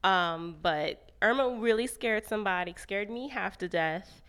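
A woman's voice: medium pitch, a little slow, fairly bright tone, clear, resonant tone. Chatty woman from Florida, podcast co-host. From Florida, USA. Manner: chatty, with likes and ums. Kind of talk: casual chat.

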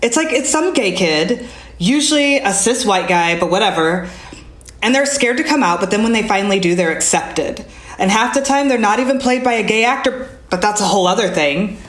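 A woman's voice: medium pitch, natural voice. Woman playing an impassioned American teenage girl, echoey room. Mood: fired up. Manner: emphatic, earnest, casual swearing. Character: fired-up, idealistic queer teenage girl activist. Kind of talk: naturalistic audio drama dialogue. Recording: roomy, echoey space.

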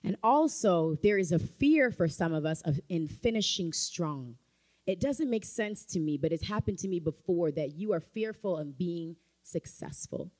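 A woman's voice: lowish voice, expressive, moderate pace, a little mellow. A woman preaching a sermon in a church, emphatic and rising to fervent calls of amen. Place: church sanctuary.

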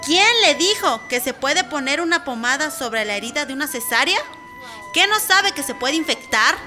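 Sharp, high-voiced scolding Mexican midwife. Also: female voice, expressive, moderate pace, soft background music. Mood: indignant. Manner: indignant, scolding. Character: feisty, outspoken village midwife woman, scolding and protective. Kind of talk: radio soap opera acting.

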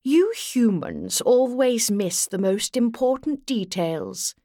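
A woman's voice: on the high side, big pitch swings, slow pace, dark tone, no room sound, studio quality. A woman voicing an elegant, vain male elf lord in a storybook, with a languid, sighing, grandly formal delivery. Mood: gently condescending.

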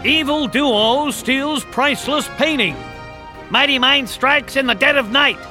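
Casting: piercing, very high newsreader, punchy headline calls. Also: male voice, a little slow, bright, forceful tone, faint music behind, exaggerated voice. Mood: urgent. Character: brisk male newsreader shouting headlines.

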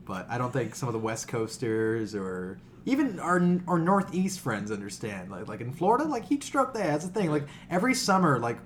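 A man's voice: medium pitch, a little fast, hardly any pauses, a little hiss or hum. An American man co-hosting a podcast in casual, comedic chat, telling stories with plenty of swearing. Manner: animated, profane storytelling. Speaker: podcast co-host.

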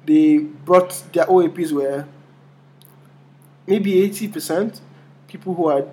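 Young Nigerian male co-host, higher voice, relaxed, filler-heavy delivery. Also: takes it slowly. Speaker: podcast co-host. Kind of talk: casual podcast chat.

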